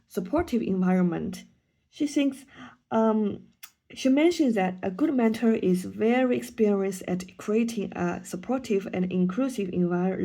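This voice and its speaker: A woman's voice: medium pitch, mellow. A woman whose first language is Chinese, a student teacher giving a hesitant class presentation with frequent ums and restarts.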